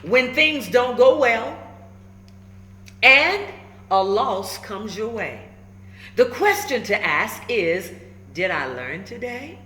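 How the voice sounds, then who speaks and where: A woman reading a children's book aloud, emphatic and instructive, pausing between short phrases and adding encouraging asides. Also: on the high side, very animated, pushed and bright. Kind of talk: animated storybook read-aloud with encouraging asides. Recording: echoey room with faint background noise.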